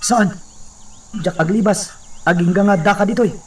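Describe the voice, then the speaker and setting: A high-voiced, stubborn captive. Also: male voice, a little slow, distant mic. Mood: defiant. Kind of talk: radio drama acting.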